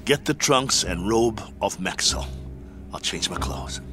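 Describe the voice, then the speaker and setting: A stubborn, washed-up ex-fighter with a low voice who barks short, clipped orders. He sounds set on his course and won't be talked out of it. A dramatic music score runs behind this radio play. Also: animated, unhurried, bright, forceful tone. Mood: grim resolve. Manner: terse, commanding, clipped orders. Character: stubborn, determined middle-aged male ex-boxer.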